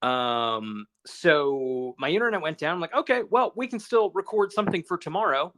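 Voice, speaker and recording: A man's voice: fairly high pitch, animated, normal speed, fairly bright tone. A man co-hosting a casual podcast over a phone call, talking in a rambling, casually profane way. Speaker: podcast co-host.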